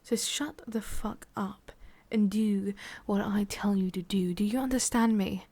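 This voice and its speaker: Woman acting a slow, breathy, sadistic English lady boss. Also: mid-range voice, fairly even intonation, warm tone. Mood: mocking, cruel. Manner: breathy murmurs, sighs and drawn-out pauses. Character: cruel, condescending, sadistic female boss. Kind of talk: ASMR roleplay acting.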